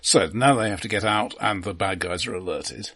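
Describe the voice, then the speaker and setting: Dry, deadpan man with slightly husky voice. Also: on the low side, moderate pace. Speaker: film podcast co-host. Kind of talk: casual film discussion.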